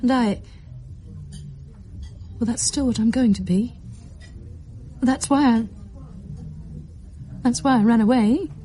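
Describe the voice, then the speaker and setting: An actress playing a fragile but determined young mother in distress, speaking haltingly and emotionally in a radio drama. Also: on the high side, mellow, a little hiss or hum. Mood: sad halting. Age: young adult. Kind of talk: naturalistic radio drama acting.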